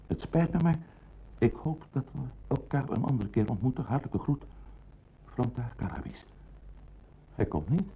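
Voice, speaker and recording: A man's voice: mid-range voice, breathy edge, heavily acted. An actor playing a pompous, hysterical family man in a radio play, rambling and self-pitying. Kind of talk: Dutch radio play acting. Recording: dull, muffled radio play recording.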